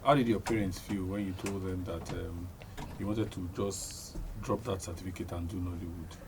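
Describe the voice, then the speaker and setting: A man's voice: low voice, steady tone, normal speed. A man, asking conversational interview questions on a radio show in a hesitant way. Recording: clear background noise, ambience.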